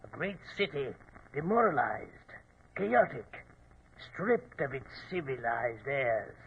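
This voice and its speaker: An actor playing a suave, grandiose criminal mastermind with measured, courteous menace in 1940s radio style. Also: male voice, medium pitch. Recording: muffled, noisy 1940s radio recording.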